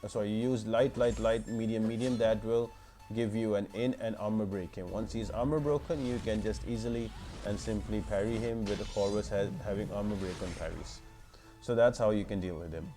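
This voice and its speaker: A man's voice: on the low side, steady tone, a little fast, mellow, soft background music. A man giving informal walkthrough commentary for a mobile game, explaining things step by step in an instructional way. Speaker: gaming guide video host.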